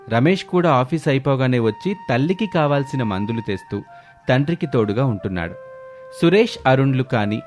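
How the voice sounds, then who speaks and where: A man's voice: medium pitch, darkish tone. A man narrating a scripted moral story as the storyteller. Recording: music bed under the voice.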